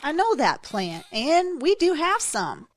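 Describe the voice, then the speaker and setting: A woman's voice: sits high, big pitch swings, slow, full character voice. A woman playing a kindly, apologetic older woman who fusses over a patient in an audio drama. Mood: kindly, fussing. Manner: caring, fussing.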